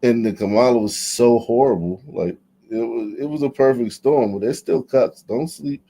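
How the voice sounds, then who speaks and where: Blunt man in a mellow, low voice. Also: moderate pace, husky, poor audio. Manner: blunt, opinionated, stammers on restarts. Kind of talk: casual commentary chat.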